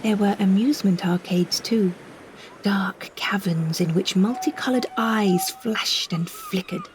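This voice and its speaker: A British woman reads a scripted first-person story with measured, expressive phrasing and careful delivery. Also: mid-range voice, a little hiss or hum, low-quality recording. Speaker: narrator, solo performer of an audio story.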